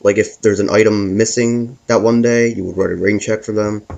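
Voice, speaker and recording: A man's voice: fairly low pitch, fairly even intonation, normal speed, vocal fry, darkish tone. A man hosting a scripted comic story, narrating it with stumbles and repeated words as he reads. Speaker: host and storyteller.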